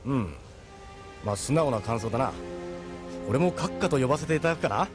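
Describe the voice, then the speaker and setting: Audio drama captain, male actor: confident, courteous but gruff, fast and animated delivery. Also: mid-range voice, close to an everyday voice. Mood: jovial, commanding. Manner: gruff familiar orders, polite to guests. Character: brisk, confident male ship's captain, commanding yet courteous. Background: faint music.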